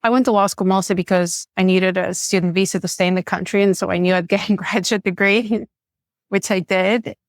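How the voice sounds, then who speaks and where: A woman's voice: medium pitch, fairly even intonation, fairly quick, no echo, clean studio sound. A woman, speaking as a podcast guest in a frank, matter-of-fact way. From Bulgaria. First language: Bulgarian. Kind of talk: casual interview chat.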